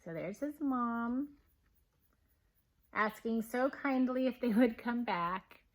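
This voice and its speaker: Lady kindergarten teacher reading a kids book, really slow n gentle, expressive, asks the kids questions. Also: fairly high pitch. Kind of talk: children's picture-book read-aloud with questions to listeners.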